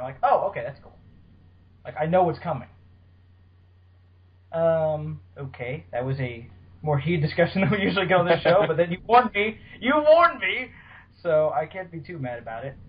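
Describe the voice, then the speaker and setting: A man hosting a casual podcast discussion, talking at length in an informal, explanatory way. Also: a little high. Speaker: podcast host. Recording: dull lo-fi voice recording.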